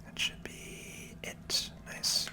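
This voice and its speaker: Soft whispering ASMR gamer. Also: very slow. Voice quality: breathy, unvoiced whisper. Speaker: video game player and commentator. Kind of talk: ASMR gaming commentary.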